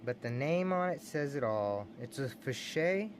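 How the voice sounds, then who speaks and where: A man with a Texan drawl talks in an easygoing, rambling way and keeps breaking into small chuckles. His pitch sits in a middle range. A faint hiss runs under a plain camera-mic recording made at home. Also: lively intonation, measured pace. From Texas. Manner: enthusiastic, rambling, says y'all, chuckles often. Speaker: lock collecting channel host. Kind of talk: casual show-and-tell vlog.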